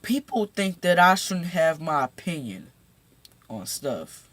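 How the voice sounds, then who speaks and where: Teenage male YouTuber, slightly high voice, slow unhurried delivery, halting and emphatic, recorded on a phone. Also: very animated, fairly bright tone. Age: teens. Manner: halting, repeats words, gets emphatic. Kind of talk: casual talk to camera.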